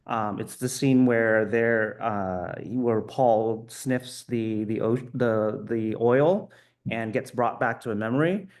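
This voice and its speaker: A male filmmaker speaks at an unhurried pace, choosing his words with frequent ums. His pitch sits in an ordinary middle range, and he sounds reflective rather than rehearsed. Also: a little mellow. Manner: thoughtful, many ums and restarts. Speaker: film director. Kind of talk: festival Q&A answer.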